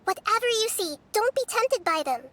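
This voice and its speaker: A woman voicing a small, wise and gentle child goddess in a video game, speaking in a composed, articulate way. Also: very high voice, animated, moderate pace. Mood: grave, advising.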